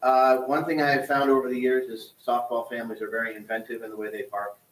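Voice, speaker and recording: Guy from the softball league talking at a town meeting, laid back, kinda far from the mic, echoey room. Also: mid-range voice, little pitch movement, fast talker. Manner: easygoing, explanatory, frequent restarts. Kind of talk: public meeting discussion. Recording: distant mic in echoey hall.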